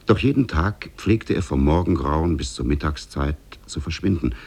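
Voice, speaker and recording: A man narrating a fairy tale in a measured, formal way for 1950s German radio. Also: rumbling low, lively intonation, creaky, darkish tone, slightly rough.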